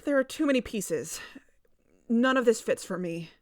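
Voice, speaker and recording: Woman playing a skeptical woman, high-pitched, close and dry. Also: lively intonation, normal speed, dry recording, natural voice. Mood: incredulous. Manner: pointed, incredulous questioning. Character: skeptical, questioning woman, stubborn and challenging. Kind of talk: scripted audio fiction dialogue.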